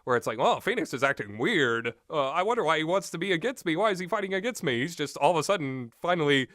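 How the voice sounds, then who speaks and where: A man's voice: on the high side, lively intonation, fast talker, a bit bright, dry recording, studio quality. A man giving rambling, informal and opinionated commentary on games, speaking off the cuff with emphatic repetition. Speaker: commentator reviewing visual novel games.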